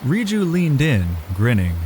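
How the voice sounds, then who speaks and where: A man's voice: a little high, big pitch swings, unhurried, a little mellow, a little hiss or hum. A man giving dramatic, scripted narration for a fantasy audio drama as its storyteller. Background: music.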